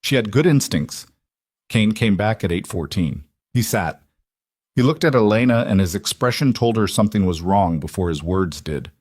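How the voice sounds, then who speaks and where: Guy narrating an audio story, low voice, measured n deliberate, really dry close recording. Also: animated, a little mellow, husky, dry recording. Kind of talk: scripted dramatic fiction narration.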